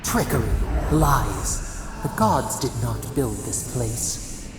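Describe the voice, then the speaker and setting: An actor playing an ominous, bitter accuser in a video game, speaking solemnly and portentously, from far off in an echoey space. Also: male voice, mid-range voice, lively intonation, takes it slowly, vocal fry. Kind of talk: scripted video game dialogue. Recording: distant, echoey hall, loud music behind.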